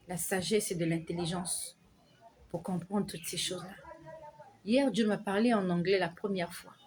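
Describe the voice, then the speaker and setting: A woman speaks straight to her viewers in a slow, earnest exhortation, circling back over the same phrases. Her voice sits at a middle pitch and often drops soft at the end of a line. A faint hum of noise runs under the recording. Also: poor audio. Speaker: online preacher.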